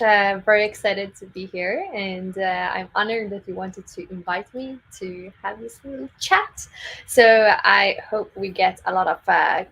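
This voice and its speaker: A woman's voice: medium pitch, vocal fry, fairly bright tone, poor audio. A Swedish woman with a Swedish accent, a videographer guest in a casual interview, upbeat and full of fillers. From Sweden. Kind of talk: casual interview on a live stream.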